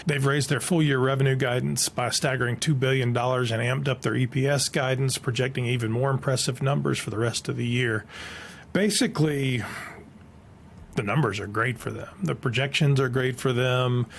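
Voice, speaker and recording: A man's voice: medium pitch, fairly even intonation, moderate pace, vocal fry, a little hiss or hum. A man hosting an online explainer, plain-spoken and informal, moving between reading aloud and commentary. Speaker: online video host.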